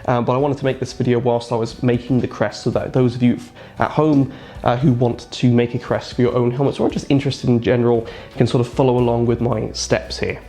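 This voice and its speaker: A man's voice: mid-range voice, flat delivery, a little fast, mellow. A man with a nasal voice from a cold, talking casually through a hands-on craft project, rambling a little and correcting himself as he goes. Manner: rambling, with frequent ums and self-corrections. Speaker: reenactor and craft maker. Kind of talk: casual how-to narration.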